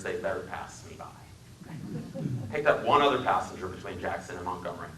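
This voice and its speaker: A man from Wisconsin giving a dramatic reading in a library, measured and dryly wry in his storytelling. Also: lowish voice, sharp tone, roomy sound, distant mic, rough recording. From Wisconsin, USA. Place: public library room.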